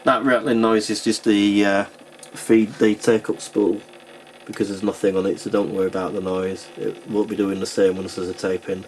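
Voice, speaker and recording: A man's voice: on the low side, level tone, moderate pace. A man narrating an informal how-to as a repair technician, speaking matter-of-factly while he works with his hands. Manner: matter-of-fact, talking while working with hands. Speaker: repair technician and video host. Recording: clearly noisy recording with clicks.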